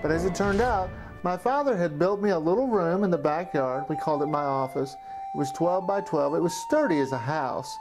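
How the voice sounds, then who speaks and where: A man in his forties narrates a retrospective documentary in the first person. His voice sits a little high for a man and reads from a script, with music clearly behind it. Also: fairly quick. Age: 40s. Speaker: narrator looking back on his amateur studio.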